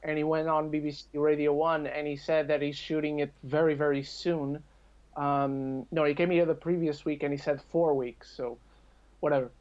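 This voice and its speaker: A man's voice: a little high, flat delivery, normal speed, a little mellow. A man speaking as a podcast guest, giving his opinions on films in casual chat. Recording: dull lo-fi call-like audio.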